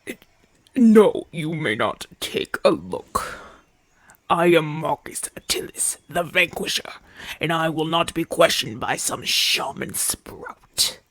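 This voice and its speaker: Casting: male actor as haughty Roman gladiator, gruff and imperious, creaky voice, unhurried delivery, breathy murmurs, for ASMR roleplay. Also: fairly high pitch, lively intonation, fairly bright tone, dry recording, exaggerated voice. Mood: haughty, guarded. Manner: imperious, formal, dismissive. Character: proud, haughty Roman gladiator champion, gruff but softening. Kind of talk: ASMR audio roleplay acting.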